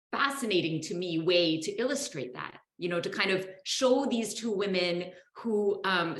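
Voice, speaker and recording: A woman's voice: medium pitch, a bit bright. Woman author, reflective interview chat with fillers, roomy echo on a video call. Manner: thoughtful, many fillers and restarts.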